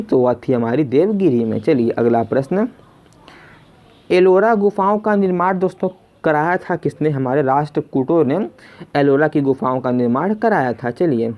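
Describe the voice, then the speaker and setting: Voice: medium pitch, lo-fi sound. A teacher narrating an exam lesson in question-and-answer form, repeating questions and addressing listeners as friends. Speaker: quiz teacher.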